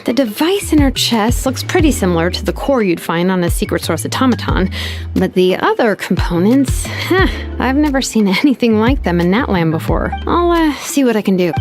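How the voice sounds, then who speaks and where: A woman voicing a wry young engineer who muses aloud in an animated way. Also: a little high, moderate pace, faint music behind.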